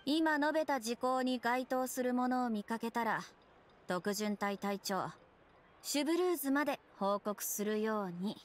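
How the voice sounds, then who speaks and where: A woman's voice: fairly high pitch, measured pace, natural voice. A woman playing a stern young police captain in an anime video game, speaking in a clipped, official, authoritative manner. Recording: game music behind the voice.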